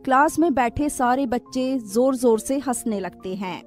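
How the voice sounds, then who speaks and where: A woman's voice: fairly high pitch, measured pace, a little mellow, soft background music. A woman reading a scripted cartoon story as its narrator.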